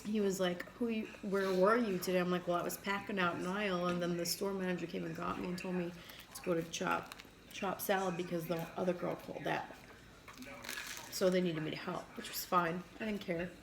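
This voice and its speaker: A woman's voice: on the low side, level tone, brisk, gravelly. A woman chatting casually over food, retelling conversations in quoted back-and-forth and swearing now and then. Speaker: mukbang co-host. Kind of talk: casual chat while eating. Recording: noisy room sound.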